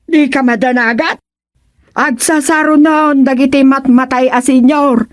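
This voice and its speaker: High-voiced nagging Ilocano village wife acted out. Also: female voice, fairly even intonation, measured pace. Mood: worried scolding. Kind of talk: Ilocano radio comedy drama acting.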